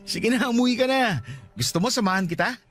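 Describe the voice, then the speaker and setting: A man's voice: high voice, expressive, moderate pace, husky, full character voice. An actor playing a patient, regretful young father with a Filipino accent, earnest and respectful, in a radio drama. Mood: coaxing. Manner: soft-hearted, earnest, respectful.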